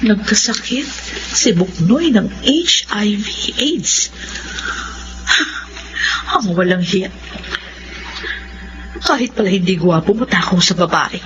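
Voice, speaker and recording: A woman's voice: mid-range voice, lively intonation, slow pace, long pauses. A woman with a Filipino accent playing a scheming, threatening, irritable young woman in a radio drama. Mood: scornful, scheming. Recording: muffled, very noisy radio drama recording.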